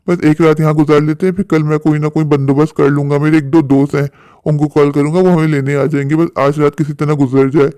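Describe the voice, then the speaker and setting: A man's voice: a little high, warm tone, no room sound, studio quality, natural voice. A man playing an earnest, protective young eloping bridegroom in a cartoon story, talking insistently and pleadingly.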